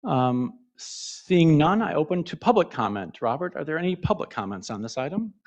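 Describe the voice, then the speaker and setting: A man chairing a public commission meeting, speaking in a measured way with frequent hesitations. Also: a little high, big pitch swings.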